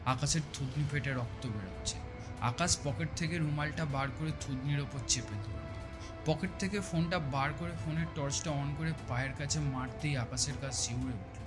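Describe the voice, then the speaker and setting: A man's voice: mid-range voice, moderate pace. A man giving a scripted narration of a horror story. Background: music.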